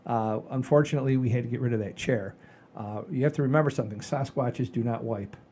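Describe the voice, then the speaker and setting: A man's voice: mid-range voice, quick pace, dark tone, slightly rough. A man in his sixties hosting a casual comedy chat show in a folksy, rambling, enthusiastic way. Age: 60s. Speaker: podcast host.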